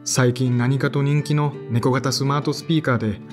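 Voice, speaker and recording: A man's voice: mid-range voice, fairly even intonation, normal speed, music in the background, lightly acted. An actor in his thirties playing a tired, sighing man who narrates his own story in an audio drama. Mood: weary. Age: 30s. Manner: sighing, tired, self-deprecating. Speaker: narrator and lead character.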